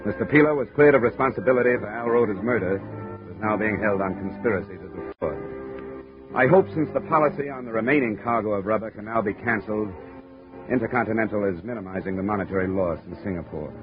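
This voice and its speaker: A man's voice: on the low side, fast, natural voice. An American actor playing a tough, world-weary male insurance investigator narrating his case tersely and wryly in 1950s radio drama. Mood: grim. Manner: terse, wry, hardboiled. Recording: muffled 1950 radio recording, music behind.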